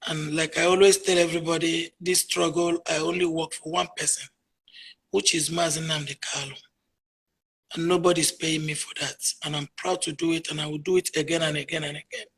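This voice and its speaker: Husky Nigerian woman, emphatic. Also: steady tone, fairly quick, rough recording. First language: Igbo. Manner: emphatic, swings between low murmurs and loud declarations. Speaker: interviewee, activist. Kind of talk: emotional call-in interview.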